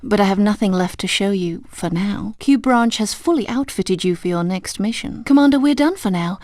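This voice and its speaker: A woman's voice: medium pitch, moderate pace, darkish tone, no room sound, studio quality, close to an everyday voice. An actress playing a courteous, businesslike female assistant at a spy agency in a video game, formal and instructive. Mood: polite, businesslike.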